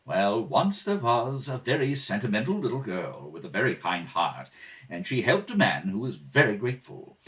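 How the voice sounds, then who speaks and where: A man's voice: on the low side, big pitch swings, moderate pace, fairly bright tone, pitched below the performer's own voice. A man playing a polite, flustered butler in a radio comedy, speaking formally and hesitantly with patient dignity. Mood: gently firm. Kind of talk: 1930s-style radio comedy acting.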